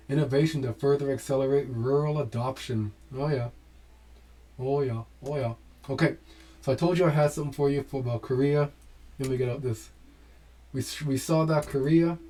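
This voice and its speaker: A man hosting a casual talk, reading documents aloud and breaking off with lively asides to his viewers. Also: mid-range voice, rough recording. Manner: animated, urging exclamations. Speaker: host.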